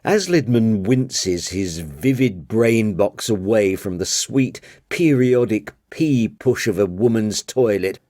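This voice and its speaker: A man in his thirties reading a literary novel aloud as audiobook narrator, deliberate and wordy. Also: lowish voice, animated, darkish tone, dry recording, studio quality.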